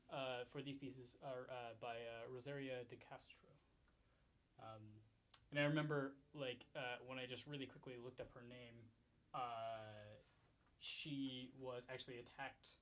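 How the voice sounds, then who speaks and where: Male guitarist, mid-pitched, halting, casual rehearsal talk. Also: steady tone. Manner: hesitant, many ums and restarts. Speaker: classical guitarist.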